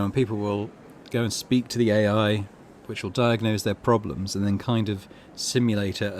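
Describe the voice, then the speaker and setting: A man's voice: on the low side, slight background noise. A man hosting a gaming channel, reading game text aloud and adding musing commentary, with faint game ambience behind him.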